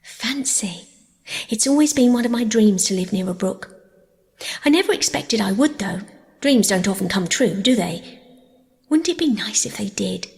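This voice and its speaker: A woman's voice: mid-range voice, lively intonation, normal speed, a little mellow, big-room sound, lightly acted. A woman voicing a chatty, dreamy, eager young orphan girl for an audiobook, with gushing, wordy delivery. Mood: dreamy, earnest. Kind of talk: audiobook character voice.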